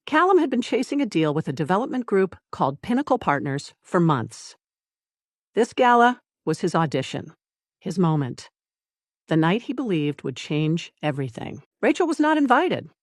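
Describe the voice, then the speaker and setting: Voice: medium pitch, dry recording. Story narrator, close dry sound. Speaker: audiobook narrator. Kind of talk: scripted dramatic story narration.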